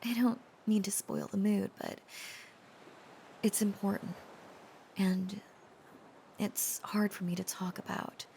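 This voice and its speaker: A woman playing a tender, protective young dragon-descended girlfriend in an intimate romantic audio roleplay, speaking gently and hesitantly in a breathy, often whispered voice. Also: medium pitch, steady tone, takes it slowly, pauses often, a little hiss or hum. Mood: nervous, hesitant. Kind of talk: intimate roleplay audio drama, softly acted.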